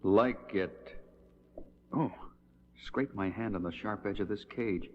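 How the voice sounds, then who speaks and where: An actor playing a stoic superhero in a 1970s audio drama, with a clipped, deliberate delivery. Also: male voice, lowish voice, slight background noise. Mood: dazed, hypnotized. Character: stoic male superhero, society playboy in disguise. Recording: dull 1970s record, music behind.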